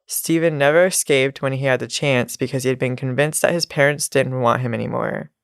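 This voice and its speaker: Congested nasal woman, podcast co-host, smooth, dry close sound. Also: extremely low pitch, fairly quick, dry recording. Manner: laughs often, reads a story with asides. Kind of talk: casual true crime podcast storytelling.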